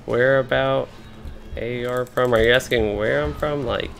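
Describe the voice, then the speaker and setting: A man's voice: mid-range voice, steady tone, a little slow, creaky. A young man from Kentucky, casually commenting while he plays an online game, thinking aloud with many fillers and restarts. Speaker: game streamer.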